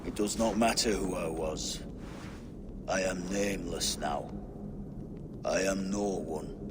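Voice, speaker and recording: An actor playing a nameless exiled Norse man, solemn and fatalistic, speaking deliberately in a game cutscene. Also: low-pitched, background noise. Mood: solemn, mystical. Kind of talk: video game cutscene acting.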